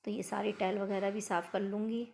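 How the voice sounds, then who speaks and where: A middle-aged woman with a hoarse voice, chatting homely to her viewers as she narrates her daily routine. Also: medium pitch, flat delivery, brisk, darkish tone, slight background noise. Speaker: vlogger.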